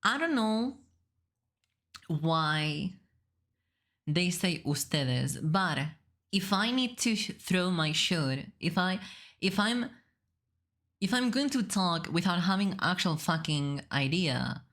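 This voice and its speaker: A woman's voice: fairly low pitch, lively intonation, takes it slowly, pauses often, a bit bright. A woman from Spain with a Spanish accent, speaking casually and playfully as a streamer reacting to a video, giggly and free with swearing. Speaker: VTuber streamer.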